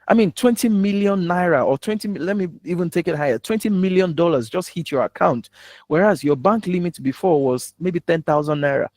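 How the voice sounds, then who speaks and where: A man's voice: high voice, animated, brisk, darkish tone, no echo, clean studio sound. A man with a Nigerian accent giving an instructional talk as a public speaking coach, emphatic and given to rhetorical questions.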